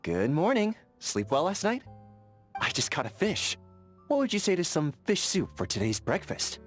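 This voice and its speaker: An actor playing an earnest, vigilant young sentry in a fantasy video game, friendly but overcautious, speaking in a methodical, conversational way. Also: male voice, a little high, huge pitch swings, moderate pace, faint music behind. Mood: gentle, caring. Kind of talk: video game character dialogue.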